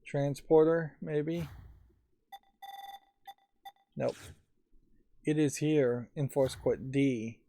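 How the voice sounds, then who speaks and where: Man musing slowly over a game. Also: fairly high pitch, dark tone, slight background noise. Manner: thinking aloud, analytical. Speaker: let's play streamer.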